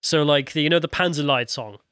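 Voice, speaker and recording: A man's voice: fairly high pitch, fast, a bit bright, clean tone, no room sound, studio quality. A man co-hosting a podcast, talking casually, laughing easily and reading viewer comments aloud. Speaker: podcast co-host.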